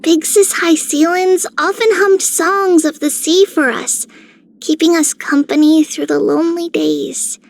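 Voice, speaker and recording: High, gentle little girl. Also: steady tone, measured pace, creaky, full character voice. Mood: fond, wistful. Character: small earnest girl, caring and gentle. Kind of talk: scripted game character voicelines.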